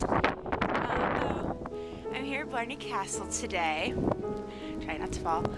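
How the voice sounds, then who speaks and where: A woman's voice: a little high, expressive, takes it slowly, loud music behind the voice, poor audio. A young American woman, a student traveling abroad, chatting casually and rambling to her sibling in a travel vlog, outdoors at a castle. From United States. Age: young adult.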